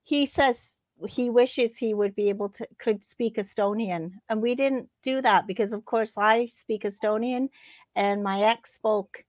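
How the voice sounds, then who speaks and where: A woman's voice: on the high side, steady tone, a little slow, no room sound. A middle-aged woman talking in a casual, easygoing, conversational way. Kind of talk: casual chat.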